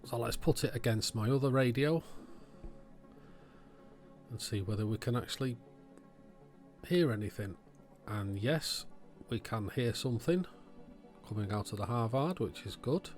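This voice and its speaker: A man's voice: medium pitch, animated, unhurried, pauses often, a little mellow, faint music behind. A man giving informal, matter-of-fact commentary on an electronics repair, thinking aloud as he works. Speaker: electronics repair video host.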